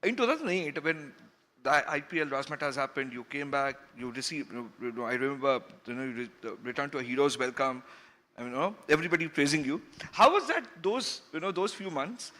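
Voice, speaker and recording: Male moderator, bright, slightly high voice, halting questions, long hall reverb. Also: expressive. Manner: halting, many fillers and restarts. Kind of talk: panel moderation.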